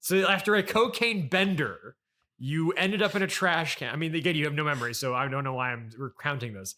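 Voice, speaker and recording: A man's voice: on the high side, a little fast, bright, forceful tone. A man interviewing as a podcast host in an improvised comedy chat, questioning incredulously and echoing what he hears.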